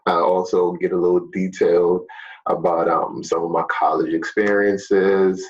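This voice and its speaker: A man's voice: deep voice, a little slow, lo-fi sound. A young man, a fitness teacher from Jamaica, talking casually to his students with many fillers. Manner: relaxed, chatty, many fillers.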